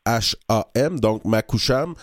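Relaxed male radio host, dry studio. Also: lowish voice, slow pace, dry recording. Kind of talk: radio interview.

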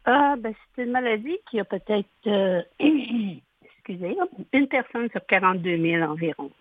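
A woman in her sixties, a native English speaker from Ottawa, speaking French as a guest with a slow, halting manner and frequent throat clearing. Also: mid-range voice, dry recording. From Ottawa, Canada. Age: 60s. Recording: tinny phone line.

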